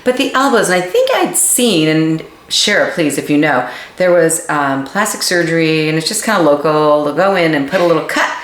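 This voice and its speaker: Fifties woman chatting, lots of laughs, lower voice, echoey room. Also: lively intonation, moderate pace. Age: 50s. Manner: bubbly, laughs often. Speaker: co-host. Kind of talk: casual chat.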